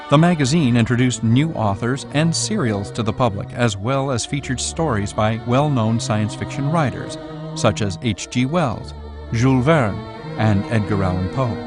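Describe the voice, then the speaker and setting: A man narrates a scripted documentary as its presenter. His voice sits at a middle pitch, with music clearly playing behind it.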